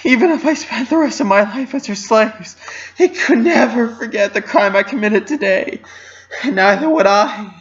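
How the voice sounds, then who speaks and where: A man's voice: high-pitched, lively intonation, normal speed, muffled recording, pitched above the performer's own voice. A man voicing a distraught young female pony in a dramatic story reading, with a shaky, tearful delivery. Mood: anguished, sobbing.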